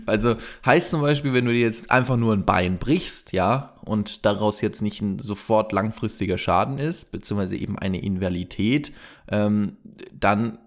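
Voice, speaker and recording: A man's voice: fairly low pitch, a little slow. A man co-hosting a podcast, explaining things in a chatty way with many fillers and jokey asides. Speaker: podcast co-host. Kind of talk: casual explanatory chat.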